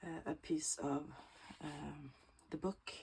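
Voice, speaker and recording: A woman with a Swedish accent, Swedish native, talking through a bookbinding tutorial in a slow, thoughtful way that often drops to a soft whisper while she works. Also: low-pitched, flat delivery, husky. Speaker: bookbinding crafter. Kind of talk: casual craft tutorial.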